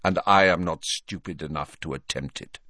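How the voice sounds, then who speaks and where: A man reading an audiobook, voicing a cold, guarded middle-aged wizard who speaks flatly and quietly. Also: deep voice, no room sound, clean studio sound, voice pushed down. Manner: flat, quiet, measured.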